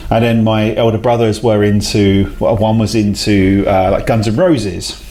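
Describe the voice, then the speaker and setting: A man's voice: on the low side, fairly even intonation, measured pace, mellow. A man, a talkative, self-deprecating guest who laughs often in a casual interview. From South London, England. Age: 40s. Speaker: guest, head of a talent company.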